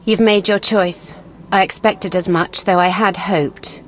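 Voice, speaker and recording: A woman's voice: medium pitch, fairly even intonation, unhurried, slight background noise, lightly acted. An actress playing a proud, betrayed young woman in a video game, formal and accusing. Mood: bitter, accusing.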